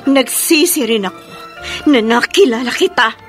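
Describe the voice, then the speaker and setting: A high female voice plays a heartbroken young woman standing firm against a lover. The delivery is deliberate and full of feeling, in Filipino radio drama style, with music underneath. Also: animated, a bit bright. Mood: firm, sorrowful. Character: heartbroken resolute young woman rejecting her former lover. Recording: music behind the voice.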